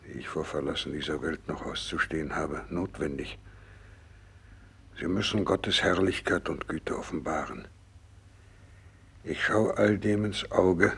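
An actor in a 1960s radio drama playing a gravely ill, devout doctor, husky and creaky, speaking slowly and solemnly with resigned fervour. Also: male voice, sits low, fairly bright tone, heavily acted. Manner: slow, solemn, resigned, fervent. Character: gravely ill, devout, selfless male Japanese doctor nearing death.

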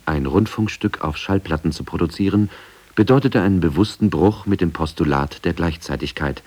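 Husky deep-voiced male documentary narrator. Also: darkish tone. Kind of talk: scripted radio documentary narration.

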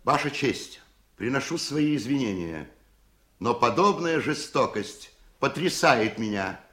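An actor playing an indignant defense attorney in a radio play, speaking in an emphatic, oratorical way. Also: male voice, medium pitch, expressive, unhurried, a bit bright. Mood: outraged, protesting. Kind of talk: Soviet radio play acting.

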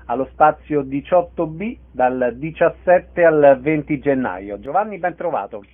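A narrow, telephone-like sound carries a man's fairly high voice. He is a radio interviewer who puts concise, prepared questions to his guest. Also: expressive, unhurried.

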